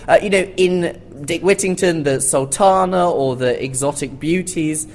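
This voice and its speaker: A man's voice: fairly high pitch, unhurried. A man speaking as a panellist in an informal but informed discussion, explaining things articulately. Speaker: panellist, theatre commentator. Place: theatre, live panel discussion. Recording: live recording with background room noise.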